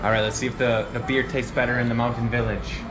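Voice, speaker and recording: A man in his thirties from the United States, vlogging excitedly to a handheld camera held at arm's length while he travels in a mountain village. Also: mid-range voice, quick pace, loud music behind the voice. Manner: excitable, exclaiming, casual. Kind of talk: travel vlog, talking to camera. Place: outdoors in a mountain village and in a car. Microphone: handheld action camera at arm's length. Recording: noisy outdoor ambience.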